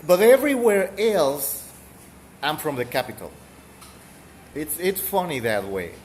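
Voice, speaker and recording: A man's voice: on the high side, very animated, unhurried, darkish tone, a little hiss or hum. A man from Mexico with a thick Mexican accent, rambling through casual game commentary with fillers and the odd cough. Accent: Mexican Spanish. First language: Spanish. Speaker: gaming streamer.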